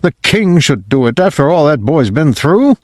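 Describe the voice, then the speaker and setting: A man's voice: fairly high pitch, sing-song, normal speed, darkish tone, dry recording, clean studio sound, pitched above the performer's own voice. Stern peasant dad, firm and a touch raised, man voicing him. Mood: resolute. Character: gruff proud poor peasant father.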